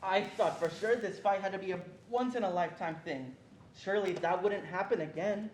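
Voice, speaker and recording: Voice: medium pitch, fairly quick, lightly acted. A young performer playing an earnest narrator who recalls a frightened childhood, in scripted stage narration. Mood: wistful. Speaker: student reader in a reader's theatre performance. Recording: roomy echo with faint background noise.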